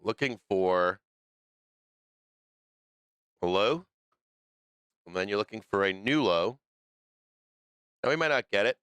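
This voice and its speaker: A man's voice: lowish voice, expressive, takes it slowly, pauses often, fairly bright tone, clean tone, dry recording. A man hosting a live stock market stream, losing his voice, thinking aloud through the charts in a loose way with fillers and restarts. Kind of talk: live trading commentary over charts.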